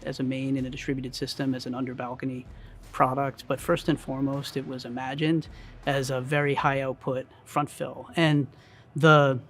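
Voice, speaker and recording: Man with slightly creaky voice, explaining products. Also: medium pitch, level tone, moderate pace, fairly bright tone. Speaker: audio company product expert. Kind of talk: explanatory interview talk.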